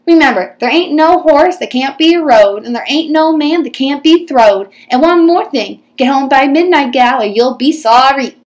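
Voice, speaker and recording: A woman voicing a feisty little old Western fairy godmother in a storybook, brisk and bossy with folksy cowboy slang. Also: high voice, animated, pitched above the performer's own voice. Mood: brisk, commanding.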